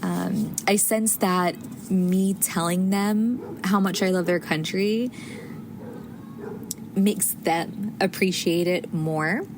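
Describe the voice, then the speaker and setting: A woman's voice: fairly low pitch, fairly even intonation, slow. Chatty American woman podcasting. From United States. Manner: chatty, many fillers and restarts. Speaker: podcast host. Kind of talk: casual solo podcast monologue. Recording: audible background noise.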